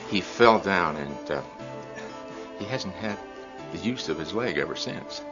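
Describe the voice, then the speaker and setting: An older actor playing a gentle old grandfather who is fond of his dog, with a halting, apologetic manner. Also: low voice, animated. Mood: worried, fond. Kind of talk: acted video game cutscene. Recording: muffled game audio with loud music.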